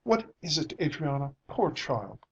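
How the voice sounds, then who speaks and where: A man's voice: a little high, big pitch swings, unhurried, creaky, highs cut off, slight background noise, pitched above the performer's own voice, heavily acted. A man voicing an anxious, plaintive wife and mother who pleads tearfully in a dramatic audiobook reading. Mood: tearful, distressed.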